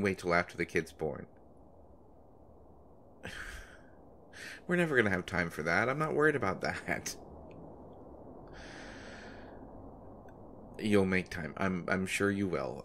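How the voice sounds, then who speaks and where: A deep-voiced young love story lead: an anxious, devoted boyfriend played by a man in an intimate audio roleplay. He speaks haltingly, stumbling over his words as he tries to reassure his pregnant partner. There is clear noise behind the low voice. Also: fairly bright tone. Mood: playful, flustered. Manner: hesitant, stammering, halting. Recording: noticeable background noise.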